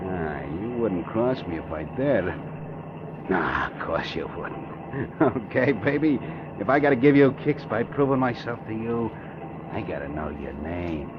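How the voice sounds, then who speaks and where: Male, brash dim-witted gangster boss, slangy and boastful, wildly swinging pitch, muffled vintage radio sound. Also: expressive, measured pace. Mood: boastful wooing. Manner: slangy, boastful tough-guy talk. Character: cocky thick-headed big-shot gangster, boastful tough guy. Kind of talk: 1940s radio crime drama acting. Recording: muffled old radio recording, noisy.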